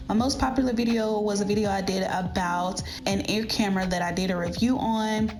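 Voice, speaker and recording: A woman vlogger with a slightly raspy, mid-pitched voice speaks directly to her viewers in a casual instructional way. She explains things step by step, with music clearly playing behind her. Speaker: YouTuber giving channel growth tips. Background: background music.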